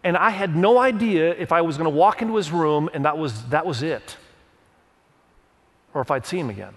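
Earnest pastor preaching in a reverberant hall. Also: male voice, a little high. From Indonesia. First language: Indonesian. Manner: earnest storytelling, leans on verses, quick restarts. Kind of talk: sermon with personal stories and scripture reading.

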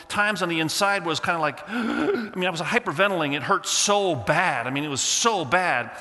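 A man preaching and telling a humorous story in a lively way, with a fairly high, bright voice. He grew up in Indonesia and spoke Indonesian before English. His voice rings out with the long echo of a big hall. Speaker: pastor. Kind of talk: sermon storytelling.

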